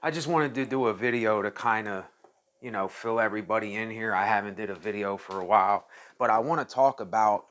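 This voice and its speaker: A man's voice: on the low side, fairly quick, fairly bright tone. A man in his late thirties hosting his own channel, talking casually and at length in a rambling monologue, repeating phrases as he thinks aloud. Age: 30s. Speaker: channel host.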